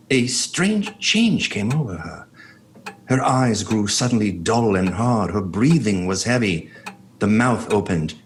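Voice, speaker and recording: A man playing an earnest, devoted doctor, narrating in a measured, sombre way in a horror audio drama. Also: lowish voice, a little hiss or hum.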